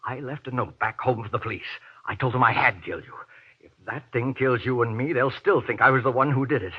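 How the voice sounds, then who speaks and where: An actor playing a desperate, guilt-ridden husband in a 1940s radio horror play, pleading in halting, anguished, melodramatic bursts. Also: male voice, medium pitch, breathy edge, lightly acted. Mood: frantic pleading. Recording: muffled vintage radio recording.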